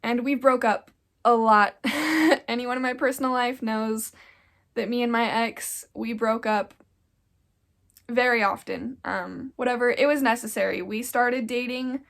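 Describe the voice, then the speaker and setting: A woman's voice: a little high, measured pace, gravelly, a bit bright. A young woman around twenty, a little congested from getting sick, talking earnestly in a casual sit-down vlog and rambling with lots of "like.". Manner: earnest, rambling, with frequent "like" fillers. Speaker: YouTuber.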